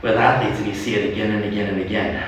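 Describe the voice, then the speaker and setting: A man talking in an animated way as a guest in a livestream interview, laughing often and restarting his sentences. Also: lowish voice, rapid-fire, recorded from a distance. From United States. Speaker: sports club leader and guest. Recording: echoey room from afar, faint noise.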